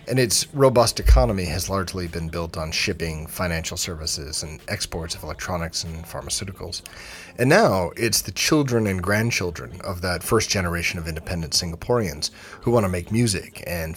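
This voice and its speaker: A man hosting an interview podcast, speaking in a measured, articulate way as he introduces and questions his guest. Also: low-pitched.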